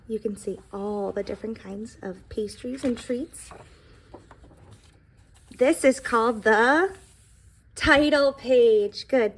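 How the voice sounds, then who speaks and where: A woman teacher reading a picture book aloud to young children, expressively, with explanatory asides addressed straight to her listeners. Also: fairly high pitch.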